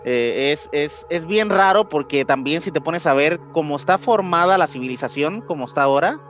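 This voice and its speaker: A man's voice: on the high side, lively intonation, normal speed, a bit bright, faint music behind. A man with a Mexican accent chatting casually on a podcast in slangy speech, repeating words as he thinks aloud. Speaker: podcast co-host. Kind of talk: casual conspiracy podcast chat.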